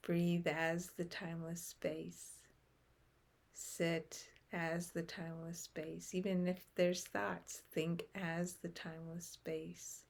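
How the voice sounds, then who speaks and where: A woman leading a guided meditation in a gentle, soothing manner, with phrases that often soften into breath. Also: lowish voice, completely flat delivery, slow, creaky.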